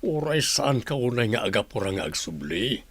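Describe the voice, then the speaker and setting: A man playing a cheeky, idle adult grandson in a radio comedy drama, expressive and blunt. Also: mid-range voice, moderate pace, husky, no room sound, full character voice. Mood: brusque.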